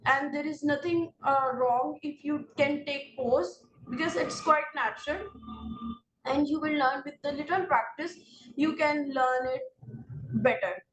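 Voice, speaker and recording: A woman with a Pakistani English accent, an exam-prep instructor giving earnest, direct advice to candidates and pausing often on fillers. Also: high-pitched, a bit bright. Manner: earnest, direct advice in second person, many filler pauses. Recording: roomy, distant mic with faint ambience.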